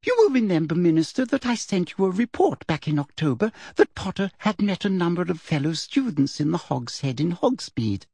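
A man's voice: sits high, big pitch swings, fairly quick, gravelly, hardly any pauses, darkish tone, husky, no echo, voice pushed up, full character voice. A man doing a silky, sickly-sweet woman official. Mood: smug. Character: simpering sugary woman official, cruel underneath. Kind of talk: audiobook character voice.

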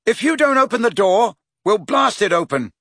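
A stubborn teenage hero from a storybook, read by a man, terse and earnest. The voice is husky, with lively shifts of pitch and a dry close sound. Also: slow, dry recording, full character voice. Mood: defiant. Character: teenage boy hero, stubborn, firm, plain-spoken.

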